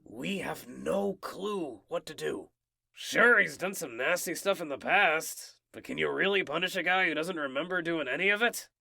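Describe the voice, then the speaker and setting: Guy doing a gravelly brash croc detective, cartoony, highish lively voice, slangy. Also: moderate pace, heavily acted. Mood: reassuring, frank. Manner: slangy, blunt. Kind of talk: comic book fan dub cartoon acting.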